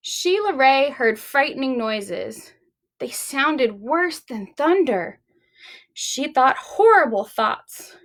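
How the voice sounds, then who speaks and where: A woman reading and talking to young children as a library storytime host, expressive and deliberate. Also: high-pitched.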